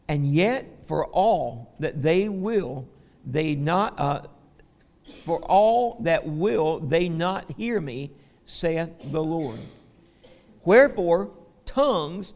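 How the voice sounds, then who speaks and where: A man with a Southern American accent teaching a Bible lesson, folksy in his asides and slow and emphatic when he reads scripture. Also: high-pitched, vocal fry, long reverb tail. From Southern United States.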